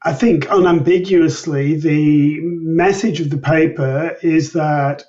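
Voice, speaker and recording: A man explaining research findings as an interview guest in a measured, methodical manner. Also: on the high side, steady tone, dark tone. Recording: distant mic, dull lo-fi sound.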